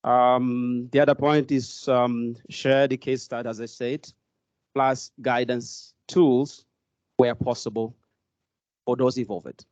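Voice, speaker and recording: A man from Mozambique with a Portuguese accent, asking a question from the floor at a workshop in halting speech with many fillers. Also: mid-range voice, level tone, a little mellow, no echo, dull audio. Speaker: workshop participant.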